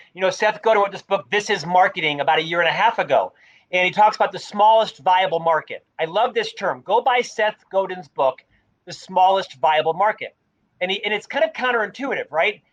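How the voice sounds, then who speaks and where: A man's voice: high-pitched, poor audio. A middle-aged man who has a stutter, telling stories energetically as a guest in an interview. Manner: energetic storytelling with a mild stutter. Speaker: podcast guest, leadership author. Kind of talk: conversational interview.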